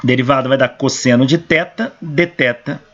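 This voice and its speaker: Hoarse Brazilian man lecturing. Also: medium pitch, moderate pace, fairly bright tone. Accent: Brazilian Portuguese. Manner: explains step by step, asks and answers his own questions. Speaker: engineering professor. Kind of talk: lecture working through equations. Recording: dull lo-fi recording.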